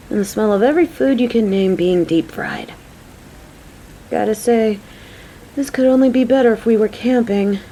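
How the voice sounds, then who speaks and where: A woman's voice: fairly high pitch, dark tone, poor audio. A woman playing a caring, playful coworker on a date in an audio roleplay, talking to the listener in a motherly, teasing, chatty way. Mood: relaxed, warm.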